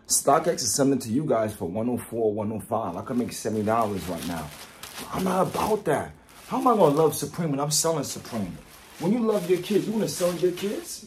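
Male vlogger, mid-range pitch, emphatic and boastful hype delivery with repetition, faint background noise. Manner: animated, boastful, emphatic, repeats phrases. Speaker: vlogger, product reviewer. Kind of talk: casual hype unboxing vlog, talking to viewers.

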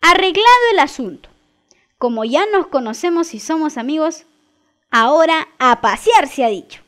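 A woman's voice: high-pitched, lively intonation, moderate pace, fairly bright tone. A woman playing a coaxing, chummy personified drug who poses as a friend in an educational radio play. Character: seductive personified marijuana, female, coaxing false friend. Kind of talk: educational radio soap acting.

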